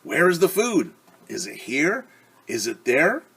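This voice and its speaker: A man teaching young children, reading a picture book aloud and gently asking them questions. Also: a little high, big pitch swings, unhurried, gravelly, a little hiss or hum. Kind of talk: children's story time read-aloud.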